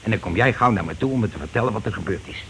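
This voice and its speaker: Bossy working-class husband, noisy recording. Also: male voice, lowish voice, expressive, racing. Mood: scheming, bossy. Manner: insistent, colloquial, explaining impatiently. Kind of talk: radio play acting. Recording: noisy old radio drama recording.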